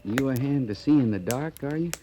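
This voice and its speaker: A male actor plays a folksy cavalry trooper who drawls and grumbles his way through a radio western. His voice is dark and relaxed, at a middling pitch. The old recording has a faint hiss. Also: fairly quick, close to an everyday voice. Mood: uneasy, wry.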